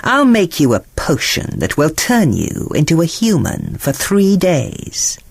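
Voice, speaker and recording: A woman voicing a scheming sea witch in a storybook, sly and theatrical with drawn-out phrasing. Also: deep voice, huge pitch swings, vocal fry, faint music behind, heavily acted. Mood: sly, bargaining.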